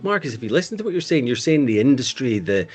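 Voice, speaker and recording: A middle-aged man with a Glasgow accent, a podcast guest telling stories in an animated, restarting way over a remote call. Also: medium pitch, quick pace, husky, faint music behind. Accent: West of Scotland (Glasgow). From Scotland. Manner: animated storyteller, frequent restarts. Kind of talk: casual conversation.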